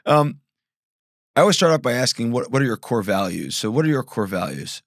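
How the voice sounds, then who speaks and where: A middle-aged man hosting a podcast interview in a small studio, asking eager, curious questions into a boom mic. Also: sing-song, fairly quick, dry recording. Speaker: podcast host. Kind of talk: conversational interview. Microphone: studio mic on boom arm.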